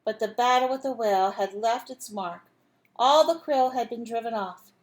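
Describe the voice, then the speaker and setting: Lady teacher reading a kids story out loud, voice a bit high, asks the little ones questions. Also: moderate pace. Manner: asks listeners questions, instructs simply. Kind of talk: storybook read-aloud with asides to children.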